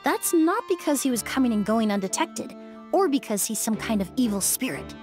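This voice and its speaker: A calm young woman explains with clinical precision in a fairly high voice. It is measured anime game dialogue with faint music behind. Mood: calm, assured.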